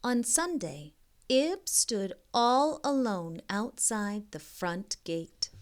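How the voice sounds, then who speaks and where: Female storybook reader, mid-pitched, slow and deliberate, informal and encouraging with kids. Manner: expressive, deliberate reading with hushed phrases; informal, encouraging asides addressed to children. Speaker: reading tutor and storybook reader. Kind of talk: modeled children's read-aloud with chatty asides.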